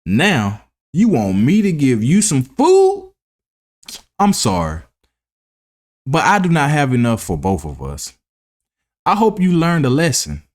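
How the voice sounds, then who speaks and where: A man's voice: mid-range voice, wildly swooping pitch, slow, long pauses, pitched above the performer's own voice. A man voicing a hardworking, sensible ant in a children's storybook, earnest and admonishing. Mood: stern, scolding. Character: hardworking, sensible, stern male ant who lectures. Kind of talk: children's storybook read-aloud.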